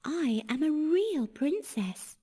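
A woman's voice: high voice, sing-song, takes it slowly, dark tone, exaggerated voice. A woman playing a young, polite, grateful princess in distress for a children's storybook, with a pleading manner. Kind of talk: children's storybook character acting.

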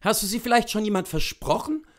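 A young coachman acted by a man in a storybook reading. He is eager yet awkward, with a raised, high voice and an earnest, coaxing way of asking. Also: very animated, brisk. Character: young coachman lad, eager, embarrassed, pleading.

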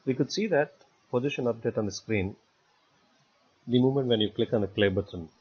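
A man narrating a step-by-step software tutorial in a halting way, repeating words as he goes. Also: mid-range voice, a little hiss or hum. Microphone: voice-over recorded for screen capture. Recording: dull, muffled lo-fi voice recording.

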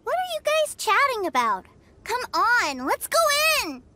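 A woman voicing an innocent, excitable little boy, an adoring younger brother in a video game, with a childlike voice. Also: very high voice, measured pace, noisy background, full character voice. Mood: excited. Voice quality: childlike, bright-eyed. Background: game music.

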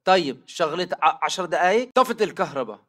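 An actor with a Syrian Levantine Arabic accent playing an impatient militant guard in video game dialogue. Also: male voice, on the high side, animated, fast, fairly bright tone. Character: impatient male militant on night guard duty.

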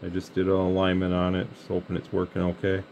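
A man's voice: sits low, fast talker, vocal fry. A man with an American Midwestern accent chatting casually as a CB radio hobbyist. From Michigan, United States. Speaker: radio hobbyist, channel host. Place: indoor radio bench. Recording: steady background hiss and radio noise.

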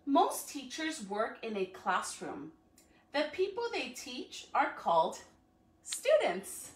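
Female educator for a children's read-aloud, higher voice, encouraging delivery, picked up at a distance from the mic. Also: wildly swooping pitch, slow. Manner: encouraging, addressing children with questions. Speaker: school educator reading to students. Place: living room at home, indoors. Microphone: phone or camera a few feet away. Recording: echoey room, distant from the microphone.

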